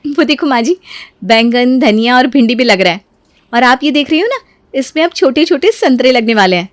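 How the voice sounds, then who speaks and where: Woman acting a high, eager young bride. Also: animated, normal speed, dry recording. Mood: eager, cheerful. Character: cheerful, dutiful young bride, sweet and eager. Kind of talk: acted dialogue in a Hindi moral story.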